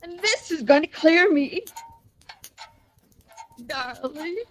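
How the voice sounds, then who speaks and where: An actress playing an obsessive, lovesick killer in a 1940s radio melodrama. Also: female voice, high voice, expressive, takes it slowly, a bit bright, heavily acted. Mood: tearful, resigned. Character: obsessive, lovesick woman who has killed. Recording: noisy old radio recording.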